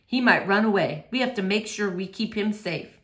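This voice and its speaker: A woman voicing a gentle, thoughtful preacher father who explains things slowly and deliberately in a children's storybook. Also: medium pitch, roomy sound, voice pushed down, lightly acted. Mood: gentle, protective. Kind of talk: children's storybook read-aloud.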